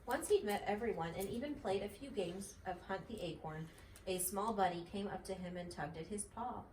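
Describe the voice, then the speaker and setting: A woman's voice: medium pitch, moderate pace, a little mellow. Woman reading a storybook, echoey room. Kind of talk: children's story read-aloud. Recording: echoey, slightly distant, faint noise.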